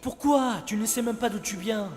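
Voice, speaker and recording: A man voicing a wily, persuasive older male swindler in an animated film fandub, assured and coaxing. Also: sits high, normal speed, close to an everyday voice. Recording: long hall reverb with faint music.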